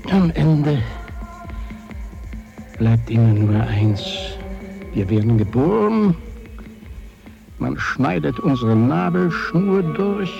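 Brooding older dreamer, slow and creaky. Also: male voice, fairly high pitch, expressive, highs cut off, heavily acted. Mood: wistful, musing. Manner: halting, musing, theatrical, sliding into whispers. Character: dreamy, brooding older father longing to escape on the Nautilus. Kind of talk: literary radio drama acting. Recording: music behind the voice.

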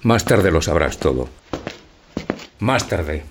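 An actor playing a gentle, dreamy old husband who schemes, declaiming tenderly in a theatrical audio drama. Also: male voice, low voice, expressive, takes it slowly, background noise. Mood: solemn, secretive. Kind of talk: theatrical radio drama acting.

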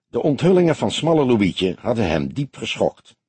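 A man reads a scripted detective novel as an expressive audiobook narrator. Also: medium pitch, moderate pace, breathy edge, dry recording. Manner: expressive storytelling with acted dialogue.

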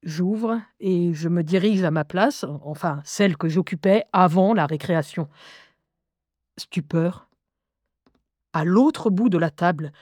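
A wry, expressive schoolgirl tells her own story in an audio drama. The voice is medium-pitched and confiding, recorded very dry and close. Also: no echo. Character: lively eleven-year-old schoolgirl recounting life with inner voices. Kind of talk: first-person audio drama narration.

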